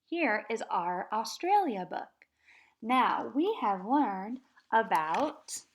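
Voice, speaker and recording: A woman teaching kindergarten, reading a nonfiction book aloud and chanting words slowly for the children to repeat. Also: on the high side. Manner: slow, patient, sing-song chanting of words for children to repeat. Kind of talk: read-aloud lesson for young children.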